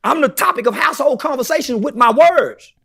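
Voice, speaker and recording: A man's voice: extremely high pitch, expressive, a little fast, vocal fry, fairly bright tone, husky. A man talking brashly as a podcast guest, boastful and preachy, swearing constantly.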